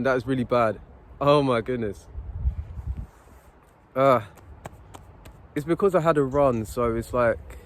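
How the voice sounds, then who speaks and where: A young man talking to his phone camera on a city street, giving unscripted self-help advice in an earnest, repetitive, preachy way. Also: mid-range voice. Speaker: motivational vlogger.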